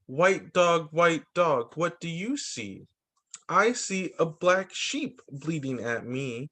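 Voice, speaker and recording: رجل يقرأ القصص للأطفال ببطء شديد وتنغيم متنوع. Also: male voice, on the high side, expressive, takes it slowly, fairly bright tone. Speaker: teacher reading picture books to children. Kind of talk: storytime read-aloud for young children.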